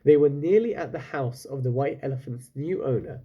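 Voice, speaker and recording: A man reading a children's story aloud as a teacher would, pausing to explain and wonder aloud to his listeners. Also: medium pitch, warm tone, slightly rough.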